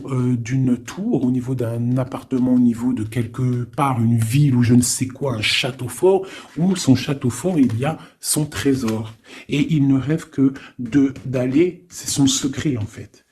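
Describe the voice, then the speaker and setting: A man giving a casual, improvised tarot reading, thinking aloud in an informal, halting way with frequent little tag questions. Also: mid-range voice, dark tone, husky. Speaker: tarot card reader.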